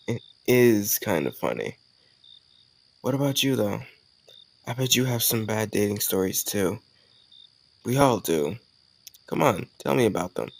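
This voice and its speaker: Male actor as cocky college charmer, young, low creaky voice, casual teasing delivery, romantic audio roleplay. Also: heavily acted. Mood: teasing, curious. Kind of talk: scripted romantic audio roleplay addressed to the listener.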